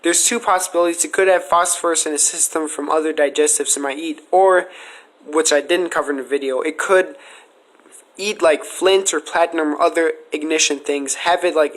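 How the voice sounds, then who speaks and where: A man's voice: on the high side, a bit bright. A man hosting a casual question-and-answer podcast, explaining speculative ideas earnestly. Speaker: podcast host.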